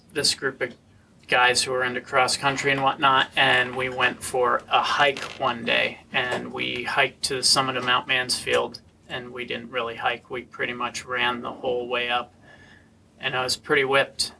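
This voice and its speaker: Gravelly laid-back man, off-mic. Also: mid-range voice, bright, forceful tone, recorded from a distance, slight background noise, rough recording. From Vermont, USA. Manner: laid-back, halting, many fillers. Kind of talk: casual personal storytelling.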